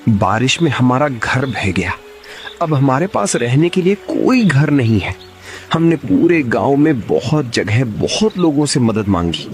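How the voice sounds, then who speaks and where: A man's voice: medium pitch, a little mellow, lightly acted. A man playing a poor, devout farmer in a moral-story cartoon, speaking with patient, earnest reassurance. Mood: pleading. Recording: music behind the voice.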